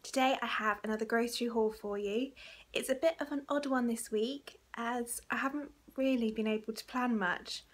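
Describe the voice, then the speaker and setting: A young woman chatting casually through a grocery haul vlog, laughing lightly between items, filmed on a phone at arm's length in a living room. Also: fairly high pitch. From England. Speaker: vlogger. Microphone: phone camera at arm's length.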